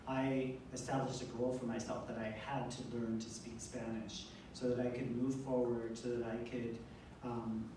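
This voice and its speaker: A man's voice: mid-range voice, little pitch movement, a little slow. A man working as an interpreter, relaying someone's speech aloud at a public event. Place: indoor event room, heard from far off. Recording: distant mic, very echoey room, faint noise.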